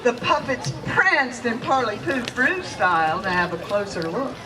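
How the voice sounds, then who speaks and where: A woman giving a playful, expressive theatrical storybook narration at a live puppet show, relishing every alliteration. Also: a little high, unhurried. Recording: distant mic, loud music, crowd noise. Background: loud music and audience.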